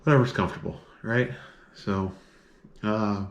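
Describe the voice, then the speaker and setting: A man's voice: fairly low pitch, unhurried. A man from the northern United States with no accent, chatting casually to camera as a vlogger, rambling with many filler words. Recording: echoey room.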